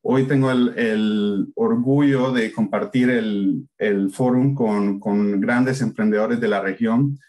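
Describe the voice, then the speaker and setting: Low-pitched Salvadoran man. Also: fairly even intonation, slow pace, few pauses, a little mellow. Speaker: investor, panel moderator. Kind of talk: introductory talk at an online event. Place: indoors on a video call.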